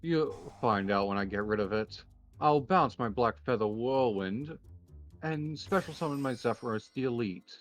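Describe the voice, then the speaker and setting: Confident male duelist acted by a man for anime game roleplay; measured, composed delivery, music bed. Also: mid-range voice, animated, lightly acted. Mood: cool, confident. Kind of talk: improvised Minecraft anime roleplay. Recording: music behind the voice.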